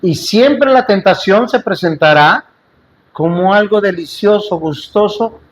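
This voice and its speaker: A man's voice: high voice, measured pace. A man preaching a sermon to a congregation in a church, in an emphatic, repetitive style with rhetorical questions to the listeners and light joking asides. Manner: jokes and asides in between.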